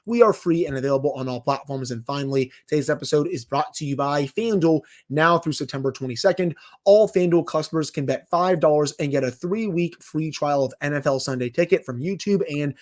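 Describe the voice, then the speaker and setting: A male sports podcast host with a voice a little higher than average. He runs through news and show business like an announcer, putting emphasis on key points. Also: fairly quick, hardly any pauses. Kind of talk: sports podcast commentary, scripted-sounding intro and news rundown.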